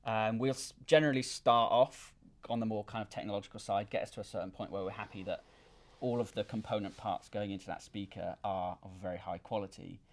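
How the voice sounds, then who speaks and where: A man speaking as a product designer in an interview, explaining technical details informally with frequent hesitations and pauses. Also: lowish voice, fairly even intonation. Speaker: loudspeaker designer and company representative.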